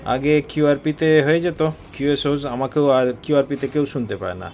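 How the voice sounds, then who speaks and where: A man's voice: medium pitch, brisk, gravelly, a little mellow, slight background noise. A man with a Bengali Indian accent chatting casually as an amateur radio operator, mixing English phrases and radio procedure into his talk. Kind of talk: casual ham radio conversation.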